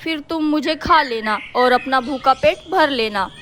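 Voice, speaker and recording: A woman voicing a gentle, devoted mother cow who pleads earnestly in a children's animated moral story. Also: high-pitched, a little slow, a little hiss or hum. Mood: tearful pleading.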